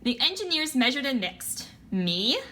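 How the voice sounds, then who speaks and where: A woman's voice: fairly high pitch, sing-song, normal speed, bright, forceful tone. A woman reading children's picture books aloud as narrator for a story time. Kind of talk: animated children's picture book read-aloud. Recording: faint background noise.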